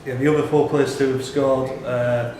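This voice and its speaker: A male quiz reader with a mid-pitched voice puts questions to players in a live pub quiz. The room is very echoey, with some background noise around the voice. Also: flat delivery, normal speed, a little mellow.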